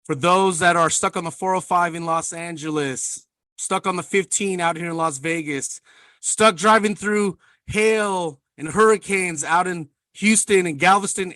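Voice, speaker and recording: A man co-hosting a podcast, talking in an energetic, casual way full of "man" and "like". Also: high voice, dry recording. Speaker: podcast co-host. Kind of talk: casual interview chat.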